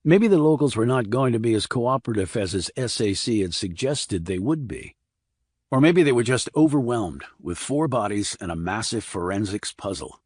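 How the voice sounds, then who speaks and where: A man reading a scripted crime thriller as an audiobook narrator, measured and restrained. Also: lowish voice, a little mellow, dry recording, clean studio sound.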